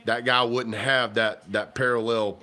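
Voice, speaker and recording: A man, chatting casually to viewers on a livestream while stuffy and hoarse from a cold. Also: mid-range voice, fairly even intonation, unhurried, bright, forceful tone. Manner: rambling, folksy, talks to viewers directly. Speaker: livestream host. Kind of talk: casual livestream chat about football. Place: home kitchen.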